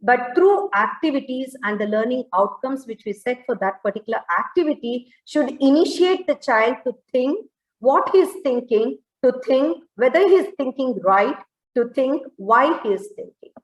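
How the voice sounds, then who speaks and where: A woman with an Indian accent speaking off the cuff as an education panelist, in a halting way with frequent self-corrections. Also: high-pitched, animated. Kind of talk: extempore panel talk on education. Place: indoor room, online webinar. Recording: roomy, echoing online call audio.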